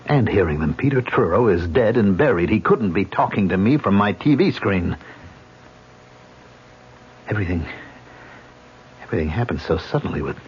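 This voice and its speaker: Brief: man playing a shaken man muttering to himself, very deep but lively voice, lo-fi old radio. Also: moderate pace, pauses often, a little hiss or hum, lightly acted. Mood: rattled. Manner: agitated, incredulous, talking to himself. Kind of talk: 1970s radio drama acting. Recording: dull lo-fi old radio recording.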